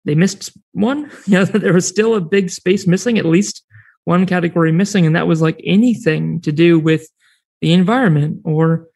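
A man with an American accent talks as an interview guest in a loose, rambling way, restarting sentences and laughing easily. His voice sits a bit high for a man. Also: moderate pace, vocal fry, a little mellow. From New Zealand and United States. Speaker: podcast network founder, guest. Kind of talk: casual interview chat.

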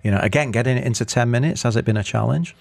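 A man's voice: mid-range voice, very fast, gravelly. A man interviewing festival actors in a chatty, upbeat way, asking follow-up questions. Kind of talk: casual backstage interview.

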